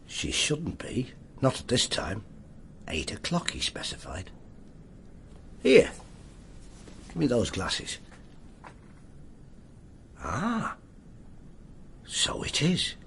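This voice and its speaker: Radio drama detective: middle-aged, English, gravelly, wry copper with widely swinging delivery. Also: male voice, medium pitch, huge pitch swings, slow, pauses often, fairly bright tone, slight background noise, full character voice. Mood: low, tense. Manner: dry, clipped, colloquial copper's talk. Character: gruff middle-aged male police detective inspector, wry and world-weary. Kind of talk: BBC radio drama acting.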